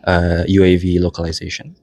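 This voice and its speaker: Young male interviewee, deep and husky. Unscripted, tentative, short hedged replies. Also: completely flat delivery, brisk, darkish tone. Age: young adult. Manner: hesitant, tentative, brief hedged answers. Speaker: interviewee, international master's student. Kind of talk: casual unscripted interview answers. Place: indoors at a university building.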